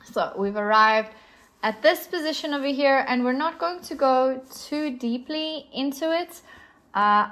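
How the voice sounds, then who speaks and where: A woman's voice: a little high, fairly bright tone. A young woman with a South African accent, patiently explaining chess positions as an instructor. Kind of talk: explaining chess moves on a board.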